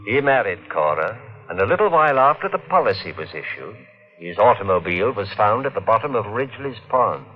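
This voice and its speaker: A cold, scheming husband. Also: male voice, on the low side, very animated, brisk. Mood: sardonic. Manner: hard, curt, sardonic. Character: cold, scheming husband narrating his crime. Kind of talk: 1950s radio drama acting and narration. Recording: dull vintage 1950s radio recording.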